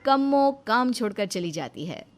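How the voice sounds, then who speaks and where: A woman's voice: on the high side, sing-song, moderate pace, soft background music. A woman reading scripted narration as the storyteller of a moral story.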